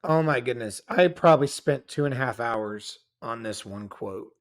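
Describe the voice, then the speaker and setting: A man's voice: mid-range voice, lively intonation, moderate pace. A man as a guest researcher in a casual scholarly discussion, careful and self-correcting, explaining his findings at length.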